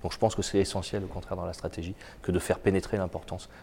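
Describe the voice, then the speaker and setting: Man, very low voice, gravelly, mellow tone, slightly stuffy from a cold, stop-start executive interview. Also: little pitch movement. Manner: halting, many repeated words and fillers. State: has a cold. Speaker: biotech company executive. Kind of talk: business interview answers.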